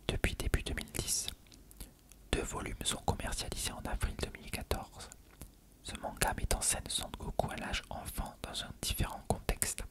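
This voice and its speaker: An ASMR narrator reading encyclopedic text in a breathy, unvoiced whisper, measured and careful.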